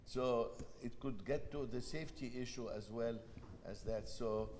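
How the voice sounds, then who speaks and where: A man with an Egyptian accent moderating a university panel discussion, speaking in a thoughtful, halting way with frequent restarts. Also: mid-range voice, darkish tone. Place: university event hall. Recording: long hall reverb, faint background noise.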